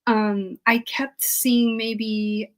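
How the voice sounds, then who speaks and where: A woman historian answers questions informally as an interview guest. She thinks aloud as she goes, pausing and qualifying what she says in a hesitant way. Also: fairly high pitch, level tone.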